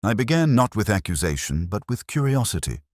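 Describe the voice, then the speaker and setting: A man reading a scripted first-person detective story in a measured, literary, melancholy manner, with a gravelly, husky voice. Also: lowish voice, sing-song, a little mellow, no room sound, clean studio sound. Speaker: narrator.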